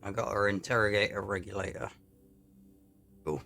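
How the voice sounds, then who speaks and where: A man giving casual, low-key commentary on a video game as he plays, often muttering to himself. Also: normal speed, creaky, bright, forceful tone. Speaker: gaming YouTuber. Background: game music.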